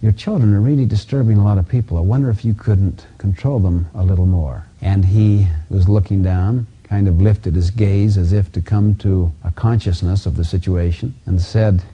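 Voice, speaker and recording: A man giving a motivational teaching talk, speaking emphatically and deliberately and repeating key phrases as a self-help speaker. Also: sits low, breathy edge. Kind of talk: motivational teaching lecture with personal anecdotes. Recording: dull, lo-fi audio.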